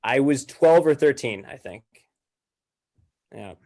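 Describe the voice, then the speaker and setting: A man's voice: medium pitch, lively intonation, slow, dark tone. Male podcast guest, mid-range voice, conversational storyteller with a wry, self-mocking streak.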